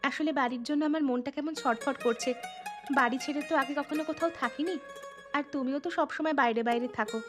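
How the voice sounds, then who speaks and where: A woman voicing a gentle, homesick young bride in a cartoon, polite and soft-mannered. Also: sits high, quick pace, close to an everyday voice. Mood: downcast. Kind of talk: cartoon dialogue. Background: background music.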